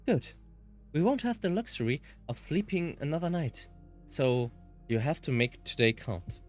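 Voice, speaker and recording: A man's voice: a little high, very animated, moderate pace, faint music behind, pitched above the performer's own voice. A man voicing a serious, composed young woman in a visual novel. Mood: composed, grave.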